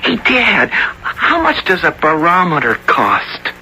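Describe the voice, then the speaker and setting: A male actor playing an eager, earnest young boy in a radio drama, with an excited, stammering delivery. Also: fairly high pitch, slow, exaggerated voice. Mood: excited wonder. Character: eager earnest young boy who loves animals and weather. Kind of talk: radio drama acting and narration. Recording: noisy 1970s radio drama recording.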